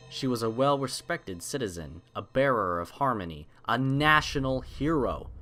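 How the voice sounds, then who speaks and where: A man's voice: fairly low pitch, bright, forceful tone. A man reading a horror story as a scripted dramatic narration, solemn and suspenseful, drawing out key phrases. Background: music.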